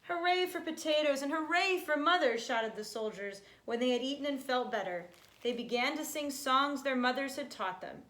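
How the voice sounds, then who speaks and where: A woman's voice: sits high, expressive, moderate pace, fairly bright tone. A woman reading a children's storybook aloud. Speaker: storybook reader. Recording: roomy, echoey home recording.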